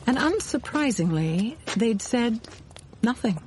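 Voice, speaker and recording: An actress playing a sharp-witted American intelligence officer, narrating her Cold War memories in a dry, wry radio drama style. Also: fairly high pitch, very animated, slow pace, slight background noise, poor audio. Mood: wry, knowing. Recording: music bed behind studio narration.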